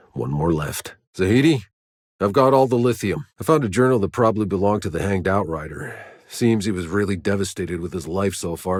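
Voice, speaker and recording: A man voicing a gruff, battle-weary soldier in a video game, sardonic and hard-bitten, with terse delivery. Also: sits low, no echo, clean studio sound, exaggerated voice. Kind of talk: scripted game dialogue.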